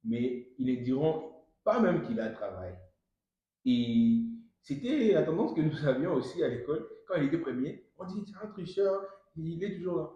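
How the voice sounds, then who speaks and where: A man's voice: on the high side, lively intonation, moderate pace, mellow, dull audio. A young man hosting a casual conversational interview in a very echoey room. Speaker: podcast host.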